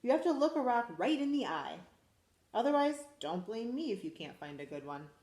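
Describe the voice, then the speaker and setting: A school principal, a woman, reading a picture book aloud to children in a lively, expressive way. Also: a little high, moderate pace, roomy sound. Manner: animated, expressive storytelling to children.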